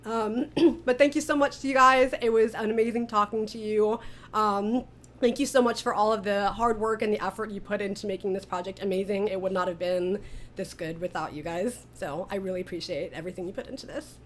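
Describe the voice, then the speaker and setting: A woman's voice: mid-range voice, fairly even intonation, brisk, slight background noise. A woman hosting a casual interview as an audio drama director, informal, appreciative and encouraging toward her guests.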